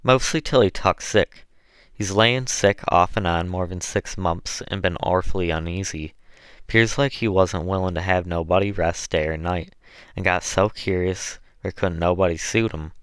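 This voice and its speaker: A man's voice: low voice, moderate pace, a bit bright, clean tone, no room sound. A man acting out a weary enslaved Southern woman. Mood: weary, sorrowful. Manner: plaintive, folksy dialect speech. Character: weary, sorrowful enslaved woman telling her troubles.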